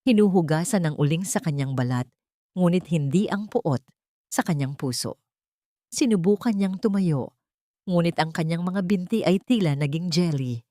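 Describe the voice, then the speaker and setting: A woman's voice: fairly low pitch, dark tone, dry recording, clean studio sound. A woman with a Filipino accent reading scripted, dramatic narration for a romance drama story.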